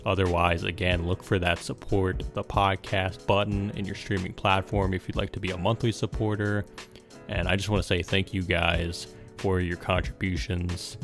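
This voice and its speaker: A man with a low voice hosts a podcast in a casual, conversational style. Faint music plays behind him as he talks. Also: level tone, moderate pace, vocal fry, a bit bright. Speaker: podcast host. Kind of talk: casual podcast interview and listener appeal.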